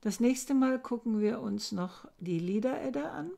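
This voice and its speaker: An older woman giving a recorded lecture from her book-lined study, explaining old verses slowly and thoughtfully, with many hesitations. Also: fairly high pitch, animated, vocal fry, warm tone. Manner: slow, thoughtful, with frequent hesitations. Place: home study lined with bookshelves. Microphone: webcam at desk.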